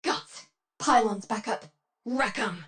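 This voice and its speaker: A woman's voice: fairly high pitch, slow, fairly bright tone, a little hiss or hum. A woman playing a tough, determined rebel leader in a video game, giving terse, intense, commanding mission orders. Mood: urgent. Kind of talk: dramatic game mission dialogue.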